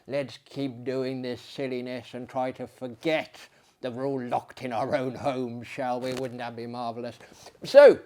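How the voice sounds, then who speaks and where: Slow, theatrical male storyteller. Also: medium pitch, level tone, dark tone, a little hiss or hum. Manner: hammy, florid, self-deprecating. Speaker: performer giving dramatic readings. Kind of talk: theatrical storytelling and dramatic reading.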